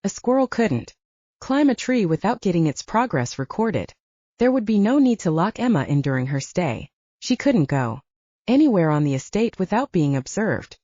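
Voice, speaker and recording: A woman's voice: mid-range voice, sing-song, normal speed, mellow, no room sound. A woman reading scripted fiction as an audiobook narrator, in a slightly dull recording.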